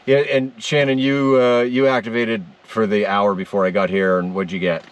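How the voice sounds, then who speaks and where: Low-voiced man chatting, off the mic. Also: little pitch movement, moderate pace. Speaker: ham radio vlogger, host. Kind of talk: casual unscripted vlog chat. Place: rural homestead on a rainy day. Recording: distant on-location microphone.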